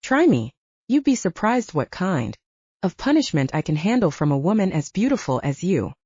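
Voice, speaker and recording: A woman's voice: lowish voice, very animated, normal speed, darkish tone, slightly rough, no echo, dull audio. A woman voicing a cocky, flirtatious male werewolf chef in an audiobook, self-assured, with a Nevada accent. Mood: teasing, bold.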